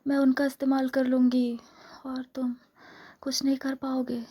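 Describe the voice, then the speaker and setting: A woman playing a seductive, possessive, lovestruck female vampire in a romantic ASMR role-play, speaking softly and intimately, often in whispers, with slow coaxing repetition. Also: high-pitched, completely flat delivery. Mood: possessive, menacing. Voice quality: soft, breathy, often whispered.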